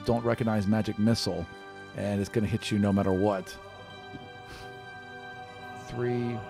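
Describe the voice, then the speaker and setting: A man's voice: lowish voice, creaky. A man working as game master, improvising tabletop game narration in a conversational way and describing scenes directly to the player.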